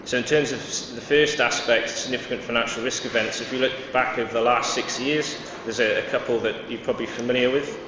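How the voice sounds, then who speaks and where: A man's voice: medium pitch, fairly even intonation, brisk, hardly any pauses, far from the mic. A man from Wales giving a conference presentation, hesitant, with many fillers and restarts. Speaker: conference speaker. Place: large conference hall. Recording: echoey hall, dull sound, noisy.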